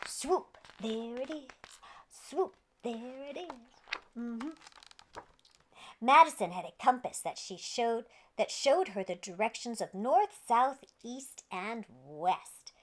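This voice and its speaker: Very high, animated woman reading to children. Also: slow. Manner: playful, exaggerated, talking directly to young children. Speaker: children's storyteller and host. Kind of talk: animated picture-book read-aloud.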